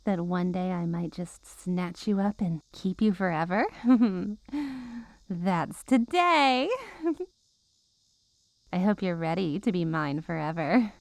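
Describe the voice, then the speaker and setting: A woman's voice: on the low side, slow, a little mellow, dry recording. A woman playing a possessive, menacing witch girlfriend in an ASMR roleplay, cooing and teasing with mock-innocent sweetness and veiled threats. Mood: possessive, gleeful.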